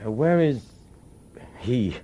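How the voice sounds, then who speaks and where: An actor playing a nervous police commissioner in a radio drama, hesitant and probing, with uneasy authority. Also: male voice, medium pitch, animated, slow, warm tone.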